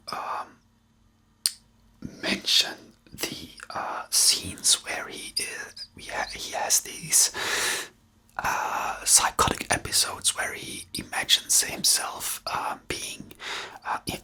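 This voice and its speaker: Breathy, bright ASMR whisperer. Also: mid-range voice. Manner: hesitant, rambling, frequent restarts. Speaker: ASMR host reviewing a TV series. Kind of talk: ASMR, unscripted commentary.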